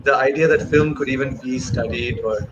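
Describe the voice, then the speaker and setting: A man's voice: medium pitch, steady tone, moderate pace, dull audio. Man interviewed over a noisy video call. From grew up in Bahrain and Malaysia. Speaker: guest filmmaker. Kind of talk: conversational interview answer, recounting career. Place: indoor room, remote video call. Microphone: wired earphones on video call.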